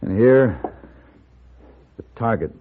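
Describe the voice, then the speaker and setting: An actor playing a composed, courteous gunfighter for hire in a 1950s radio western, speaking with measured, dry politeness. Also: male voice, fairly low pitch, expressive. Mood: curious, courteous. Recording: muffled old radio recording, music underneath.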